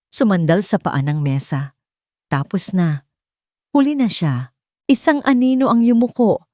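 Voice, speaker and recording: A woman narrates a scripted romance story in short, suspenseful, deliberate phrases. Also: low voice, dark tone, no room sound, clean studio sound. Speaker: narrator of romance audio stories.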